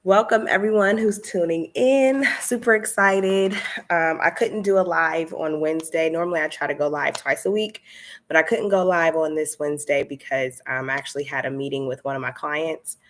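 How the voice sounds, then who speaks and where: Woman, 30s, low-pitched, chatty coach, slightly congested, easygoing live-stream chat. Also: moderate pace, slight background noise. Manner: chatty, many fillers, laughs often. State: slightly stuffy nose. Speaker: candle business coach hosting a live stream.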